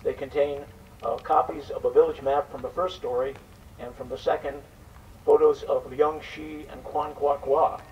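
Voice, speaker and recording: A man's voice: a little high, steady tone, normal speed, dull audio. An older man introducing and narrating a live event, reading from a script as presenter. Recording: distant mic, faint background noise.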